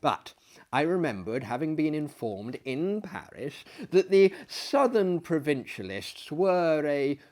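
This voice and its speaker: Male storyteller, thirties. Mannered, theatrical literary delivery, unhurried, with very animated intonation. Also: a little high. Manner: hammy, mannered, deliberate phrasing with dramatic swells and drops. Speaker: dramatic reader and storyteller. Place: small book-lined room indoors, seated in an armchair.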